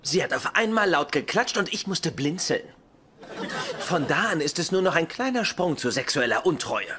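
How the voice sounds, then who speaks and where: A pedantic, touchy young man in a sitcom dub. He speaks in precise, self-important phrases with very lively intonation. There is clear noise behind him. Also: fairly high pitch, normal speed. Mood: suspicious, earnest. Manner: precise, formal, pompous wording. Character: pedantic, suspicious, easily offended young male roommate. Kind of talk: dubbed sitcom dialogue.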